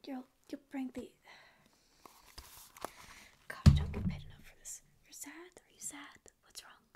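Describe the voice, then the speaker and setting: A woman's voice: sits high, steady tone, measured pace. Woman whispering as sarcastic sleep paralysis demon. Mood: grumpy whisper. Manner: whispered, mock-rude, sarcastic. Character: grouchy ancient demon, secretly caring. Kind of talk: ASMR roleplay. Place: small dim room indoors. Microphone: close ASMR microphone.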